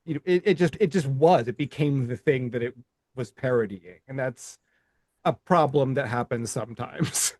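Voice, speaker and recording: A man's voice: mid-range voice, normal speed, darkish tone, dry recording, clean studio sound. A middle-aged man co-hosting a movie podcast, rambling casually with restarts and swearing. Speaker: podcast co-host.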